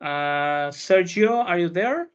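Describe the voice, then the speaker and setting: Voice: mid-range voice, expressive, slow pace, smooth, highs cut off. A student hosting an online panel, talking hesitantly with many fillers and restarts. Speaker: panel host and student speaker.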